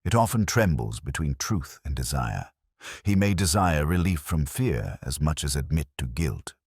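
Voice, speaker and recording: A man voicing a calm, unhurried, gently authoritative police inspector in a mystery audiobook. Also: rumbling low, breathy edge, no room sound, studio quality, heavily acted. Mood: calm, gentle. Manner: calm, measured, philosophical. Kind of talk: mystery audiobook character dialogue.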